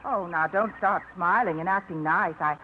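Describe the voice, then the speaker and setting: An actress playing a flustered, nervous middle-aged woman who fishes, with a breathy, giggly voice, in a 1950s radio drama. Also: fairly low pitch, normal speed, slight background noise, natural voice. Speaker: lead actress. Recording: dull old radio broadcast.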